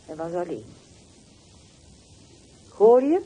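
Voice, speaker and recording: An actress playing a bitter, wounded middle-aged woman on the telephone in a radio play, terse and reproachful with deliberate pauses. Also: fairly low pitch, big pitch swings, slightly rough, narrow, tinny audio, heavily acted. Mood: grieving, intense. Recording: noisy old radio drama recording.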